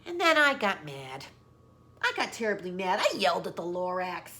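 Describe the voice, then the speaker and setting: A woman's voice: on the low side, sing-song, normal speed, bright, forceful tone. A woman reading a children's story expressively, with emphatic, teacherly phrasing aimed at young listeners.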